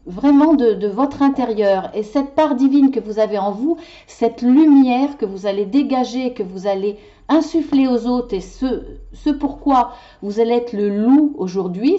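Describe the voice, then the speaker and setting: A woman reading tarot cards in a weekly video, passionate and animated, tripping over her words as her ideas run ahead. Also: on the high side, highs cut off. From Italian heritage. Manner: animated, talks with her hands. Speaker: tarot card reader.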